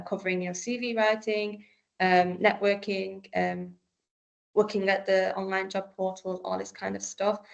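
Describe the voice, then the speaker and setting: Woman hosting a webinar, flat gravelly voice, lots of ums and you knows. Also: mid-range voice. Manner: hesitant, lots of fillers and restarts. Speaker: webinar presenter. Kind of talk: casual webinar presentation.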